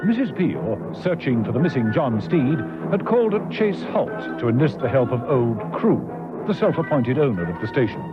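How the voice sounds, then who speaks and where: Animated male serial narrator over loud music. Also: mid-range voice, normal speed. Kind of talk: dramatic scripted recap narration, 1960s radio. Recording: dull old radio recording.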